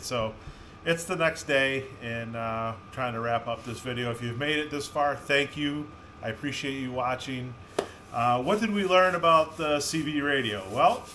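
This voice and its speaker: Guy doing a casual vlog, plain spoken, medium pitch, bit of background noise. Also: pushed and bright. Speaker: vlog host. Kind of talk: casual vlog talk to viewers.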